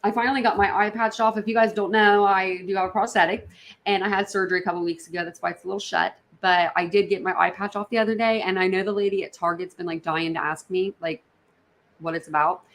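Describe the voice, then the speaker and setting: A woman's voice: medium pitch, steady tone, quick pace. A woman hosting a casual livestream, reacting to videos in a rambling way, repeating herself and talking straight to her viewers. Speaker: livestream host.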